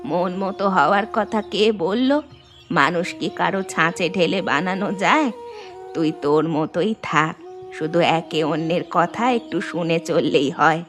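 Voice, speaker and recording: A woman voicing an affectionate old mother-in-law in an animated moral story, speaking tenderly with homely advice. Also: lowish voice, measured pace, natural voice. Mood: reassuring. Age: older. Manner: tender, advising, plain homely speech. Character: old Bengali mother-in-law, affectionate, gentle, home cook. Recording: music behind the voice.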